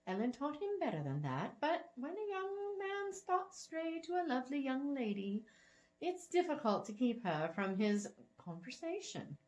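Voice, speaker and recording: A spry old matchmaking lady from a storybook, acted out by a woman reader. She is genteel and teasing, with a knowing way of talking and a pitch that lilts widely up and down. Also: big pitch swings, a little slow, a little mellow, low-quality recording.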